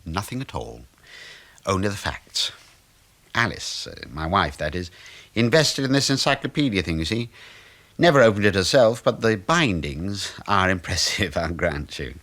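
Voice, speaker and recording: An actor in a radio play voicing a cheeky, breezy middle-aged British suburban husband who teases and muses like a know-all. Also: male voice, deep voice, expressive, moderate pace, fairly bright tone. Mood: musing, opinionated. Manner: breezy, teasing, musing.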